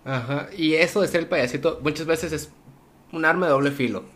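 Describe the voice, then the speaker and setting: Mexican host guy, slangy and joking, kinda high voice. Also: a little fast, a bit bright. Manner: laid-back, joking, uses slang. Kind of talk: casual interview chat.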